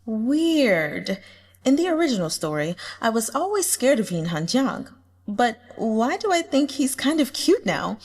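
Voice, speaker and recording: Casting: gushing young fangirl voiced by a woman, high, very animated, squealing and exclamatory, audiobook comedy beat. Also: measured pace, husky, big-room sound, full character voice. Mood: amused, puzzled. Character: excitable gushing young female online novel readers, chattering comments.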